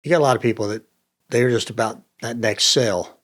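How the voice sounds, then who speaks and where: A man's voice: mid-range voice, fairly quick, fairly bright tone. A man hosting a podcast interview in a conversational, affirming and emphatic way.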